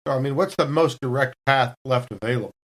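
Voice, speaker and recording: A woman's voice: rumbling low, fast talker. A woman talking casually as a player in a tabletop role-playing game.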